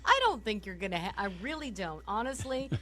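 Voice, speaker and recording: A woman with a hoarse voice from a summer cold, co-hosting a political talk radio show from home, ranting animatedly and laughing often as she talks. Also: mid-range voice, very animated, fairly quick, a bit bright.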